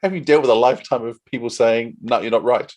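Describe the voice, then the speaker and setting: A man's voice: mid-range voice, fast talker, a little mellow. A man hosting an interview, putting questions in a conversational style. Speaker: interviewer, podcast host.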